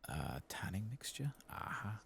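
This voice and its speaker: A man giving soft-spoken, sometimes whispered ASMR commentary on a game, murmuring to himself and reading text aloud. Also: fairly low pitch, big pitch swings, a little slow, creaky, slight background noise. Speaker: ASMR gaming channel host.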